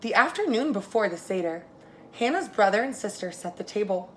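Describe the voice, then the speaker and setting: A woman reads a children's story aloud in an expressive teacherly way, speaking straight to her young listeners. Her voice sits at a middle pitch, and there is noticeable ambient noise in the recording. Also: fairly quick, poor audio. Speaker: preschool teacher reading stories to children.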